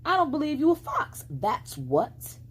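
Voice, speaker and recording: A woman's voice: high voice, huge pitch swings, unhurried, voice pushed up, exaggerated voice. A woman voicing a sassy, fearless little country girl in a storybook, matter-of-fact, speaking folksy rural dialect. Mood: skeptical, unimpressed.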